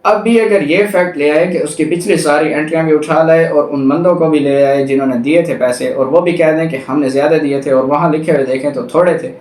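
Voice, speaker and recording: A man's voice: a little high, steady tone, brisk, few pauses, darkish tone. A man lecturing on law, reading legal text aloud and then explaining it step by step in a didactic way. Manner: didactic, rephrases and repeats points step by step. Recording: roomy echo, distant microphone.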